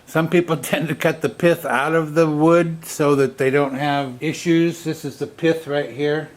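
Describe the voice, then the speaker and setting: A man's voice: a little high, fairly even intonation, measured pace. Folksy man explaining hands-on workshop craft. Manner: folksy, plainspoken step-by-step explaining. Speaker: woodturning channel host and instructor. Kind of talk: casual workshop demonstration talk.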